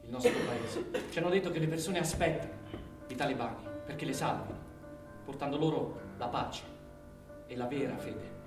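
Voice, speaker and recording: An actor playing a devout, earnest young Taliban suitor in a stage drama, speaking solemnly. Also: male voice, medium pitch, normal speed, natural voice. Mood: earnest, pious. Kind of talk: theatrical stage drama acting. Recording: echoey distant stage recording over guitar music.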